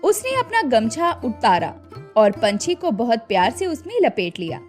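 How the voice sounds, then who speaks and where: A woman narrating a scripted children's cartoon story in a slow, expressive way. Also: a little high, soft background music.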